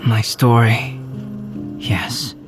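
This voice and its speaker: A man voicing a calm, scholarly young alchemist in a video game, speaking in a measured, articulate, philosophical way. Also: medium pitch, over music. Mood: grave, musing. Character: calm, scholarly young male alchemist, artificially created, reflective. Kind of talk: scripted video game dialogue.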